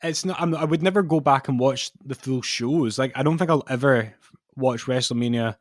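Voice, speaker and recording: A young man co-hosting a podcast from his home study, in relaxed, rambling, jokey chat. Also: mid-range voice, normal speed, dry recording. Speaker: podcast co-host. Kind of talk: casual chat.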